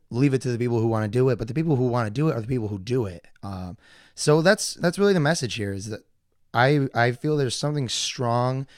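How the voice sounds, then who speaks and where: A hoarse man talks loosely through his own live podcast, looping back with fillers and false starts. Under the roughness his voice still comes through smooth and resonant. The sound is dry and close, with no room around it. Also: on the low side, dry recording. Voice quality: gravelly, usually hoarse. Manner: rambling, with many fillers and restarts. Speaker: podcast host. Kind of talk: casual live solo podcast talk.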